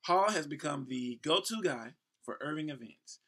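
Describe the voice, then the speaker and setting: A man's voice: a little high, expressive, normal speed, breathy edge. An American man in his thirties from Houston, a host reading aloud with playful, casual asides and ad-libs. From Houston, Texas, United States. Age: 30s. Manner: playful, breaks into ad-libs and shout-outs. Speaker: host and professional event MC.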